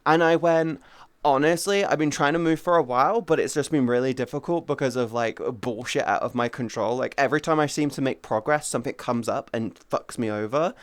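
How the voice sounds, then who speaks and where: Smooth-voiced rambling man in his thirties. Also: medium pitch, fairly quick, dry recording. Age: 30s. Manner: rambling, swears freely, greets chatters by name. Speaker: streamer. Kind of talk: casual chat with viewers.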